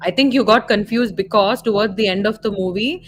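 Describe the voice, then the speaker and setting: A woman's voice: mid-range voice, a little fast. Indian-accented woman explaining, slightly noisy audio. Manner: explanatory, corrects and clarifies. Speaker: live stream co-host. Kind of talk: casual explanatory film discussion.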